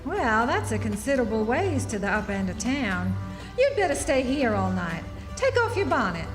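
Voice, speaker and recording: A woman's voice: a little high, big pitch swings, normal speed. A woman playing a shrewd, motherly, prying frontier townswoman with a folksy American Southern accent in a live radio drama. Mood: welcoming. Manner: folksy, emphatic. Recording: echoey hall, music behind.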